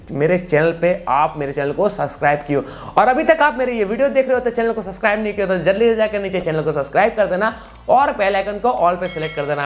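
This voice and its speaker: A man in his twenties with an Indian accent, hosting a casual step-by-step tutorial in a repetitive, instructional way. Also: sits high. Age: 20s. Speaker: YouTube tutorial host.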